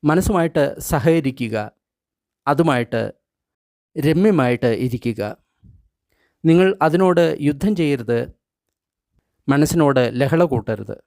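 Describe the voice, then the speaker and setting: A man reading guided meditation instructions in short, measured phrases with pauses between them. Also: a little high, lively intonation, darkish tone, no room sound, clean studio sound. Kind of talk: guided meditation, scripted instruction read aloud.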